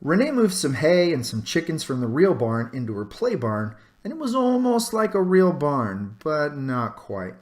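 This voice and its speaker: Male storybook narrator, highly expressive pitch, dramatic pauses, drawn-out phrases, read-aloud for children. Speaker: storybook reader.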